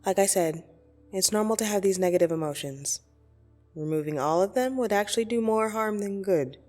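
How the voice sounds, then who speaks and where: A woman playing a blunt, teasing yet soothing dream demon in an ASMR roleplay, speaking deliberately and intimately with gentle condescension. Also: mid-range voice, animated, natural voice. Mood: fond, matter-of-fact. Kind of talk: ASMR roleplay audio drama. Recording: soft music behind the voice. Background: soft meditation music.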